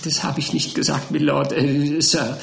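A timid, flustered gentleman in the witness stand, as a male narrator puts him on stammers softly with nervous hesitations. His voice is a little raised but lacks force. A roomy echo surrounds it. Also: a little high, little pitch movement, unhurried, vocal fry, pitched above the performer's own voice. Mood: timid stammering.

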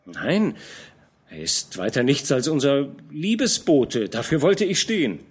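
A man voicing a proud, hot-tempered young nobleman who speaks earnestly and indignantly, with a lively voice. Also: medium pitch, measured pace, slightly rough, pitched above the performer's own voice.

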